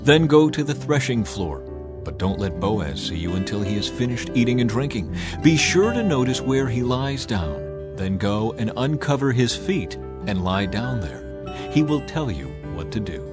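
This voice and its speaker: A man voicing a caring, patient older mother-in-law who advises in a measured, instructive way in a Bible story. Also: medium pitch, very animated, loud music behind the voice, close to an everyday voice.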